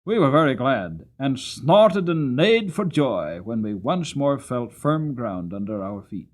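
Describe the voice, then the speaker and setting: A man's voice: mid-range voice, big pitch swings, a little slow. A man voicing an old cavalry horse in a storybook, proud and earnest, recounting war with dramatic delivery. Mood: relieved. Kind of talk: dramatic audiobook character reading.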